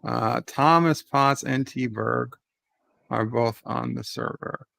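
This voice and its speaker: A man streaming a game, slowly and methodically talking through what he is doing. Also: mid-range voice, creaky, bright, forceful tone, dry recording. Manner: slow, methodical, thinking aloud. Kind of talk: casual gameplay narration.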